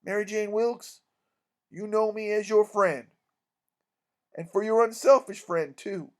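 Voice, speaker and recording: A man reading a story aloud plays an iron-jawed country doctor. His voice is high and emphatic, and he speaks bluntly, with stern indignation and a scolding edge. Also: a little slow. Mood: urgent, warning.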